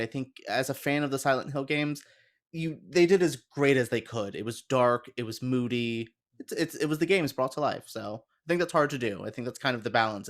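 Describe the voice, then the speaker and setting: A man talking casually as a podcast guest. His voice is medium in pitch and very animated, rising and falling often as he gives his opinions. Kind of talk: casual film and game discussion chat.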